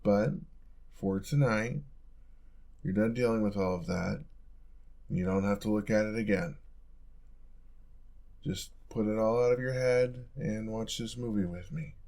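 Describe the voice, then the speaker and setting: A man's voice: fairly low pitch, measured pace, long pauses, darkish tone. A young man playing a caring, teasing college roommate in an intimate, casual audio roleplay. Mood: playful, reassuring.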